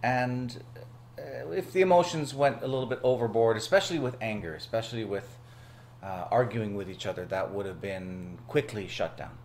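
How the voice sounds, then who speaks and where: A man's voice: lowish voice, moderate pace, a little mellow, a little hiss or hum. A man who is a guest on a panel talk show, speaking in an enthusiastic way with some hesitant fillers. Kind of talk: casual panel discussion.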